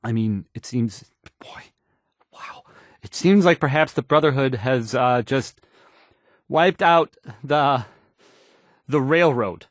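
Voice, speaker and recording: A man's voice: mid-range voice, slow, pauses often, no room sound, clean studio sound, natural voice. An actor playing a nervous, awkward young male radio DJ in a game, stammering and hesitating through the news, rambling and unsure of himself. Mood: flustered, uneasy. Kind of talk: fumbled radio news report in a video game.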